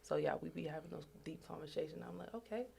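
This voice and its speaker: A young woman with a notably low, deep voice talks through friendship and trust in a relaxed radio chat. She sounds earnest and reflective, poking fun at herself as she goes. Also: fast talker, gravelly, a little hiss or hum. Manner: earnest, reflective, self-deprecating. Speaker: podcast co-host. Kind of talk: casual chat.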